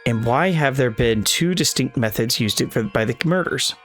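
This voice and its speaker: A man's voice: mid-range voice, lively intonation, normal speed, a bit bright, soft background music. A man reading a visual novel's dialogue and narration aloud for a let's play video, sometimes tripping over lines and correcting himself.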